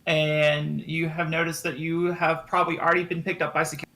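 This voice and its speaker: Guy running a tabletop game, gravelly voice, kinda bright and sharp, lots of ums. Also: fairly high pitch, flat delivery, poor audio. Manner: halting, improvised narration full of ums. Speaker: game master.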